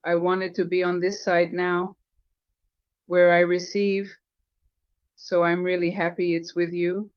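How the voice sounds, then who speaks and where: A woman guest in an AI-dubbed voice, giving short, soft-spoken answers about receiving a healing session. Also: on the low side, level tone, measured pace, long pauses, clear, resonant tone, dry recording. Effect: AI voice dub. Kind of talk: interview answers. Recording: muffled lo-fi recording.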